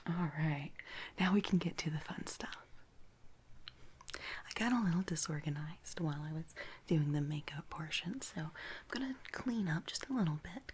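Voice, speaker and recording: A woman whispering gently and haltingly in a soft-spoken ASMR personal-attention roleplay, playing an attentive makeup artist. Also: low-pitched, a little hiss or hum. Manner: gentle, hushed, unhurried, halting with soft pauses.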